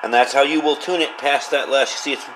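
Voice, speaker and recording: A man's voice: mid-range voice, steady tone, fast talker. Man's casual review over background noise. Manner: informal, unscripted explaining. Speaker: radio reviewer. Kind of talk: casual hands-on product review. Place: indoors at a workbench. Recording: clear background noise, room ambience.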